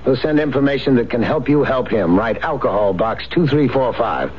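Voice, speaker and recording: A man's voice: mid-range voice, lively intonation, normal speed. Muffled male public service announcer. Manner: plain-spoken, emphatic short phrases. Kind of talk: direct scripted appeal. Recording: muffled, faintly hissy radio recording.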